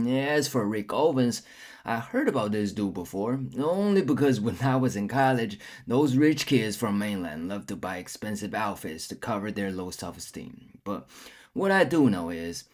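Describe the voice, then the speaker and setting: A young man from China delivering a casual, blunt, profanity-laced opinion monologue with a sarcastic edge. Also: fairly low pitch. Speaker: storyteller vlogger.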